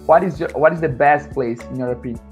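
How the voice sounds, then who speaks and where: A man's voice: mid-range voice, quick pace, a little mellow, soft background music. A man, an English teacher interviewing people in casual English.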